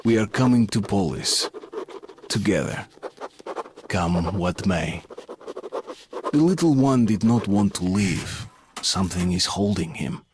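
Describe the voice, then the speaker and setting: A weary soldier narrates a video game story. His voice is very deep and unhurried, measured and brooding, over faint ambience. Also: a little hiss or hum. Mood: reflective.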